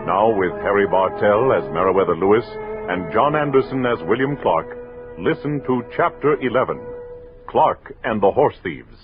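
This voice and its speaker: Male American narrator, low and formal, vintage radio scripted read, muffled sound over music. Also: lively intonation. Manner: formal, measured phrasing. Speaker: announcer and narrator. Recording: muffled old radio recording.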